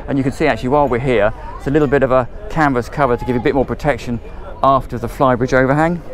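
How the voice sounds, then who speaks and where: A man's voice: medium pitch, fairly even intonation, rapid-fire, a faint crowd. Chatty man touring a boat. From England. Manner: chatty, enthusiastic, loosely ad-libbed. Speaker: boat tour presenter. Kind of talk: walkthrough tour narration. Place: on board a motor boat at a marina, moving between cabins and decks.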